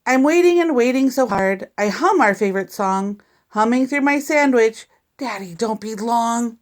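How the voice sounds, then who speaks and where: A woman reading a picture book aloud to young children in an expressive, deliberate, teacherly way. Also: on the high side. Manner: deliberate, animated reading with sound effects and asides to listeners.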